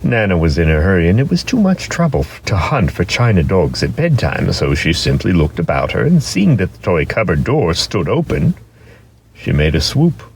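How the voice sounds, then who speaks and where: Male narrator, very low pitch, animated storybook reading, drawn-out emphasis, faint background noise. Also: creaky.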